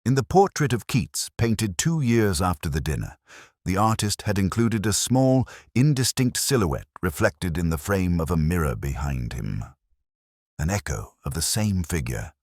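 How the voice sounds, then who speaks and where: A man narrating a scripted first-person detective story in a measured, literary manner. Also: sits low, very animated, a little mellow, dry recording, clean studio sound.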